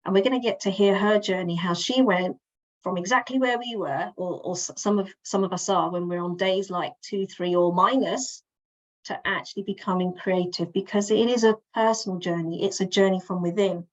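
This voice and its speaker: A woman's voice: medium pitch, normal speed, lo-fi sound. A woman from the UK, a relationship coach hosting an online session, giving an encouraging introduction with small restarts. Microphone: video call.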